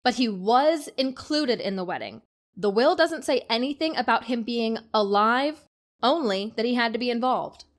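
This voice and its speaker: A woman's voice: a little high, animated, normal speed, close to an everyday voice. An actor playing a defensive married woman in an audio drama, matter-of-fact and snippy. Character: brisk, defensive married woman with dry comebacks. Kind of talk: acted audio drama dialogue.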